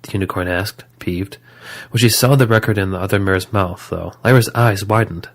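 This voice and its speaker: Low-voiced male story narrator. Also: moderate pace.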